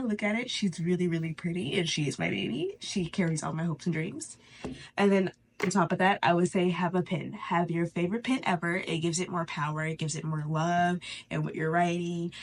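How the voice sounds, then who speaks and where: A woman with a stuffy, congested nose hosting a casual, chatty spiritual tutorial, informal and halting with pauses for breath. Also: on the low side. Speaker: host of a spirituality channel.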